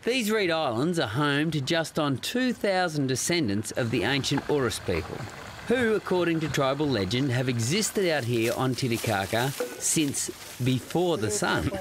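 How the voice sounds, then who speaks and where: A man's voice: mid-range voice, big pitch swings, moderate pace, slight background noise. A man with an Australian accent presenting a travel program, mixing scripted narration with casual chat in a playful, colloquial storytelling manner. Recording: loud music bed behind the voice. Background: music.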